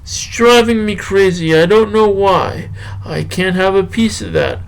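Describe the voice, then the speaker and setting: A man playing a slow, earnest poetry show host with a drawn-out, halting delivery. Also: sits high, gravelly, voice pushed up, poor audio. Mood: slow, rambling. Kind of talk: halting poetry recital and rambling podcast talk.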